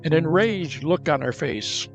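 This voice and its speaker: A man narrating an audio drama in a measured, dramatic storytelling manner. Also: fairly high pitch, lively intonation, gravelly, faint music behind.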